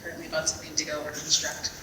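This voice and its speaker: Quick-talking woman on a panel. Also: deep voice, monotone, sharp tone, faint music behind. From Florida. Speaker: student chapter president. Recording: distant mic in echoey hall.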